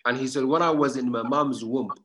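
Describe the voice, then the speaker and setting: Fast-talking man giving earnest advice. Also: medium pitch, fairly bright tone, slight background noise. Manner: earnest, advice-giving, repeats words for emphasis; tells anecdotes with many quoted lines. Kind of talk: casual conversation.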